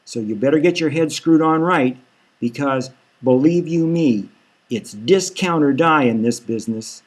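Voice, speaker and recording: Man reading as slick, pushy, high-pitched boss. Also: unhurried. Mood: blunt hard sell. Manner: folksy hard-sell, cajoling. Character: slick, pushy, patronizing male boss of surrogate mothers. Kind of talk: satirical audiobook character reading.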